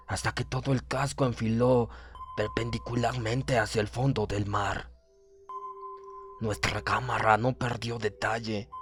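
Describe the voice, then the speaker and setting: A man reading an audiobook as a proud, cold German submarine commander, in a formal, measured and pompous manner. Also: mid-range voice, natural voice. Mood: cold, matter-of-fact.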